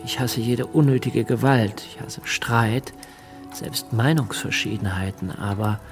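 An austere, intense revolutionary played by a man in a German radio drama. His gravelly, medium-pitched voice weighs each point with emphatic pauses and deep mistrust. Music plays strongly behind him. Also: natural voice. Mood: tormented, intimate. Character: principled, suspicious revolutionary politician, austere and intense.

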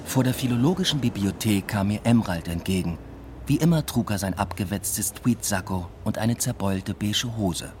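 A man's voice: fairly low pitch, expressive, moderate pace, darkish tone, a little hiss or hum, lightly acted. An actor playing a weary, introspective male academic, narrating a radio play in a dry, reflective, understated way. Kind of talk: literary first-person narration in a radio play.

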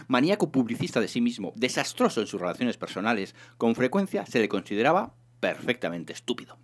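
A man reading a humorous science fiction novel aloud in an expressive, theatrical narration. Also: on the low side, husky. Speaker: reader of a serialized humorous sci-fi novel.

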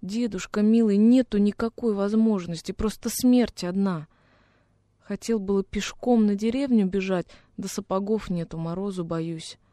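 A woman's voice: mid-range voice, steady tone, normal speed, mellow, no echo, studio quality, lightly acted. A woman playing a homesick young peasant orphan boy in a radio drama, plaintive and naive, with rustic folk speech. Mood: desperate pleading. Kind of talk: dramatic radio reading of a classic story.

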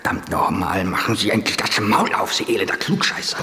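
Exasperated lawyer, echoey room. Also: male voice, deep voice, expressive, fairly quick, sharp tone. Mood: angry. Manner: blunt, irritated, demanding. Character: exasperated male defence lawyer, impatient and easily provoked. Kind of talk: German radio drama acting.

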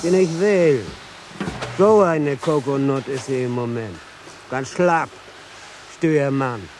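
Ein Schauspieler spielt im Hörspiel einen urigen Rudergänger mit plattdeutschem Einschlag. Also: male voice, medium pitch, slow pace, a little mellow, close to an everyday voice. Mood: dogged, resigned. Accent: Low German. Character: salty, slow-spoken ship's helmsman. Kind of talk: radio drama acting. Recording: music under the voice.

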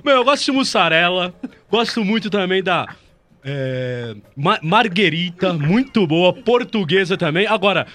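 A man's voice: high voice, lively intonation, measured pace, sharp tone. A man chatting casually as a guest on a radio show, playful and slangy, joking about accents.

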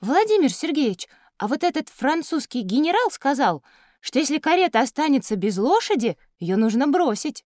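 A woman's voice: high voice, big pitch swings, moderate pace, darkish tone, no echo, studio quality. A woman voicing a sensible, clever schoolgirl in an educational audio drama for children. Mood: puzzled. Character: sensible, clever schoolgirl, gently lecturing her friend.

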